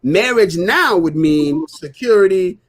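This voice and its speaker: Earnest high-voiced man explaining his views. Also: expressive, unhurried. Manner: earnest, explanatory, builds points by repetition; frequent "you know what I'm saying". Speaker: co-host of a live talk stream. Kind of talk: casual live discussion.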